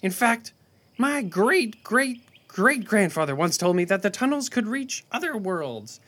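A man's voice: high-pitched, sing-song, measured pace, fairly bright tone, full character voice. A man voicing a proud, grumpy rabbit scribe with a fussy, pompous manner in a children's audio drama. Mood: pedantic. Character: grumpy, proud male rabbit scribe, pedantic and easily offended.

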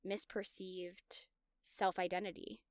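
Smooth-voiced woman sharing candid stories. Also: lowish voice, flat delivery, takes it slowly, dry recording. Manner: candid and confessional, self-reflective. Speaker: podcast host. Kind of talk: personal storytelling monologue.

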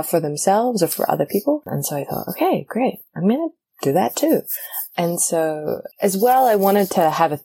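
A woman's voice: sits low, mellow, breathy edge. A woman from New Zealand with a New Zealand accent, chatting casually as an interview guest. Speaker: interview guest, theatre director, producer and actor.

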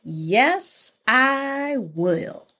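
A woman voicing a small, clever, quiet female deer from a children's folk tale, coyly playing innocent. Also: high-pitched, sing-song, extremely slow, exaggerated voice. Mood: agreeable. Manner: coy, feigned innocence.

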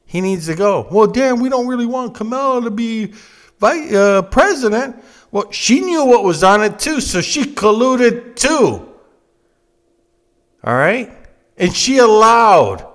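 Slow, animated American man in his fifties. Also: high voice. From United States. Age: 50s. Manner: emphatic, blunt, repeats phrases for emphasis. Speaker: political commentator and host. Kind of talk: opinionated political monologue.

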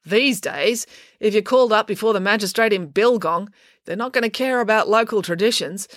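A woman's voice: mid-range voice, normal speed, no room sound, clean studio sound, voice pushed up, lightly acted. Woman voicing an exasperated, officious small-town constable. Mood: reproving.

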